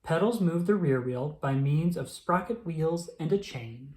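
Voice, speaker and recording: A narrator reading a children's picture book aloud in a clearly enunciated, instructional storytelling manner. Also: medium pitch, lively intonation, measured pace, a little mellow.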